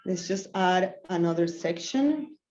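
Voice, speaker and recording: A woman's voice: fairly low pitch, lively intonation, a little slow, a little mellow. A woman in her thirties from Venezuela giving a live screen-share design tutorial, easygoing, with many ums and self-corrections. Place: small office room, indoors. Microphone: laptop webcam mic on a video call. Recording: roomy, dull lo-fi call audio.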